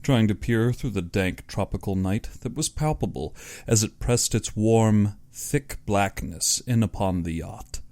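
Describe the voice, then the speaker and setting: A man's voice: fairly low pitch, measured pace, darkish tone, no echo. A man reading scripted story narration in a dramatic audiobook style.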